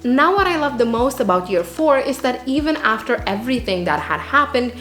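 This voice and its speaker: A woman's voice: on the high side, very animated, fairly quick, a bit bright. A woman narrating a scripted book review in an earnest way, with dramatic emphasis. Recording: roomy echo, music underneath. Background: background music.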